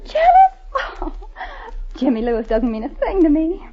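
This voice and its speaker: A woman's voice: high-pitched, huge pitch swings, moderate pace. An actress playing a feisty, scornful, proud American college girl in an old-time radio comedy, with emphatic, theatrical delivery. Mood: indignant, defensive. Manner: emphatic, indignant, theatrical hesitations. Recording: dull vintage radio recording with audience.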